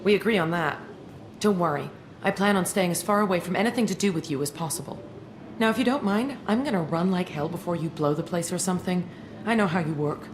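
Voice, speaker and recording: A wry, slightly nervous female scientist in a sci-fi game, played by a woman. Her voice sits a little low and stays conversational, with noisy ambience behind it. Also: fast, natural voice. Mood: wry, hurried. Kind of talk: video game acting.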